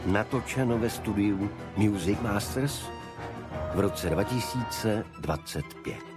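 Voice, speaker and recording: A man reading a detective story as a narrator, in a measured, deliberate literary delivery. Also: lowish voice, loud music behind the voice. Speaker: audiobook narrator. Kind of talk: scripted first-person detective story narration.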